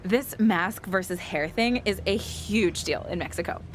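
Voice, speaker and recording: A woman's voice: medium pitch, a little slow, a little hiss or hum, natural voice. A woman playing an inquisitive documentary interviewer in a scripted video game cutscene.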